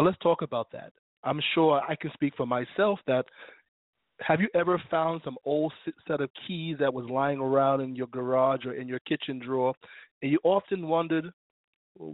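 A male minister preaching and praying on an internet radio show, his voice slightly raspy from illness. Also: a little high, fairly even intonation, normal speed, dry recording. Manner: fervent, preacherly, prays and reads scripture. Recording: dry tinny phone line.

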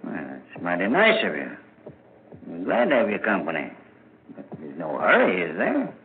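Through a thin, slightly noisy old radio recording comes a nosy, easygoing village constable. His lowish voice is folksy and very lively in pitch, probing in a casual radio-drama way. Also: normal speed, exaggerated voice. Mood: unruffled, curious. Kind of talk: 1940s radio drama acting. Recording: scratchy, narrow-band old radio recording.

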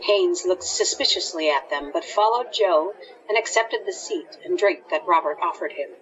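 A woman's voice: fairly low pitch, normal speed, muffled recording, some chatter behind. A woman reading scripted storytelling narration for an audio drama.

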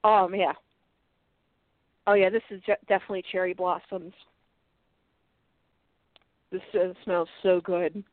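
Woman, stuffed-up nose, casual product chat, reads labels aloud, halting restarts, tinny phone-like sound. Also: on the low side, fairly even intonation, no room sound. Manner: chatty, halting restarts, light laughs. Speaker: co-host reviewing products. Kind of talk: casual unboxing chat, reading package text aloud. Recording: tinny phone-like line, dry.

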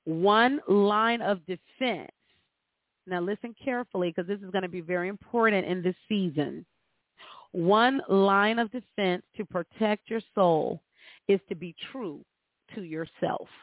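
A woman's voice: medium pitch, no echo. A woman's voice comes through narrow and phone-like, with very little room around it. She speaks as a spiritual commentator and host, earnest and exhorting. She repeats phrases for emphasis as she delivers guidance. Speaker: host of astrology forecasts. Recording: thin, narrow phone-like sound.

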